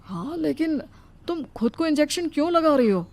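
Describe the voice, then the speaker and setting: A woman voicing a mild, caring young husband who asks questions with gentle concern in a cartoon story. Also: high voice, lively intonation, normal speed. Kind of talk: cartoon moral story voice acting.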